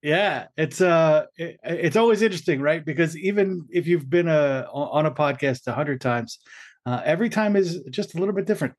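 A man hosting a podcast interview, asking measured questions with frequent "um" and "uh". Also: a little high, animated. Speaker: podcast host.

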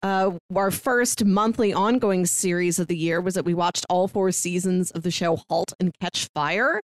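A woman's voice: on the low side, moderate pace, no echo. A woman co-hosting a podcast, chatting casually as she recaps a year of reviews. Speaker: podcast co-host.

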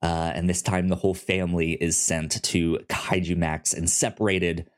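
Young male co-host, low-pitched, heavy stutter on word starts, easygoing chat. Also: flat delivery, normal speed. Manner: stutters heavily on first sounds. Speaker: podcast co-host. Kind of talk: casual chat.

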